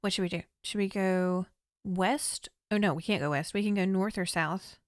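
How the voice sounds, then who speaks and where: A young woman with a slightly hoarse voice streams a text adventure, reading room descriptions aloud in a measured, storytelling way between chatty asides. Her pitch sits mid-range for a woman, and the close mic sounds dry, with no room sound. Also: no echo. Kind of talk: casual game commentary, reading game text aloud.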